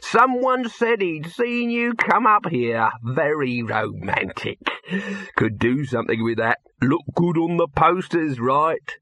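A man plays a hustling showman boss in a storybook. The voice is raised high and sounds bright and forceful. The patter is clipped and wheedling, that of a pushy haggler always selling something, in a close, dry recording. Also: slow, no room sound, full character voice. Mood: brisk, pushy. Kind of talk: audiobook character voice.

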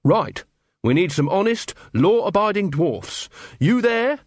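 Man playing an earnest, brisk watch corporal, lifted pitch. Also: very animated, dry recording, clean studio sound, heavily acted. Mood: brisk, cheerful. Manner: brisk, cheerful, commanding. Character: earnest, keen, commanding young watch corporal.

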